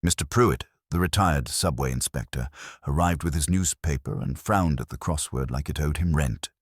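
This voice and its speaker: A man with a gravelly, husky voice, narrating a scripted detective story in a wry noir manner. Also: rumbling low, normal speed, no room sound, clean studio sound. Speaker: audiobook narrator.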